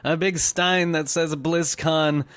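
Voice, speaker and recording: A man's voice: a little high, steady tone, slow, fairly bright tone, clean tone. Casual, jokey man hosting a podcast. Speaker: podcast host. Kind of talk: casual gaming news chat.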